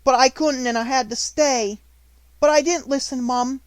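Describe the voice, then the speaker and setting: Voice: sits high, fairly even intonation, a little slow, no room sound, pitched above the performer's own voice. A sobbing little scullery maid. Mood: pleading. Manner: sobbing, begging, rough ungrammatical speech. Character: poor young servant girl, tearful and pleading.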